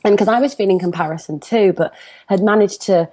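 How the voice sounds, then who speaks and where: A woman's voice: medium pitch, a little fast, dark tone. A woman in her thirties with a Northern English accent, chatting animatedly as an interview guest and telling personal stories. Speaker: interview guest, comparison coach. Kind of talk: conversational interview, personal storytelling.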